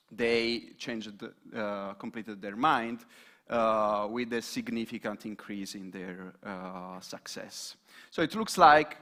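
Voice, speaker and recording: A man's voice: medium pitch, sharp tone. A man presenting research results at a panel, hesitant, with many fillers and restarts. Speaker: student presenter.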